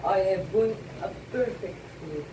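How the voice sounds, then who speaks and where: A woman's voice: medium pitch, measured pace, lightly acted. A woman playing a naive, emotional wife in a stage play, anxious and gushingly grateful, speaking earnestly. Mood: relieved, grateful. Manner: earnest, exclamatory. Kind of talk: amateur one-act stage play acting. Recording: echoey, distant, slightly noisy room recording.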